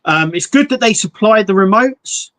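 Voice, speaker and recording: A man co-hosting a live stream, chatting in a relaxed, jokey way about model building. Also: sits high, normal speed. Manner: relaxed, jokey, explains hobby builds with restarts. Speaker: livestream co-host, model builder. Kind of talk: casual chat.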